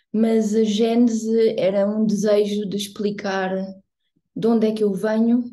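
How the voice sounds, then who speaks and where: A middle-aged woman, a photographer answering interview questions thoughtfully, often pausing to search for words. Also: mid-range voice, dark tone. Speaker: guest photographer.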